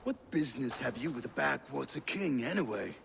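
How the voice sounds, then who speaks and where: An actor playing a weary, cynical Dane steward in a video game, grumbling sardonically in a gravelly voice. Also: male voice, mid-range voice, lively intonation, brisk, a bit bright, a little hiss or hum. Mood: weary, sardonic. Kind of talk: video game cutscene acting. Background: music.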